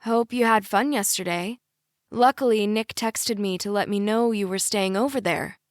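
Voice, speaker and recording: A woman voicing a concerned mother in an audiobook, gently protesting in a careful, placating manner. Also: medium pitch, normal speed, no echo, studio quality, lightly acted. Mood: mildly disapproving. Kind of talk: audiobook character dialogue.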